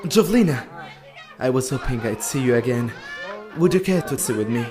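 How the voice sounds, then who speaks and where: A gentlemanly young-hearted waiter in a radio drama, acted by a man. He is easygoing and polite in a medium-pitched voice, with faint music behind. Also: expressive, a little fast, a crowd in the background, close to an everyday voice. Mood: friendly. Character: friendly, gentlemanly male ship waiter. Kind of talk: radio play acting.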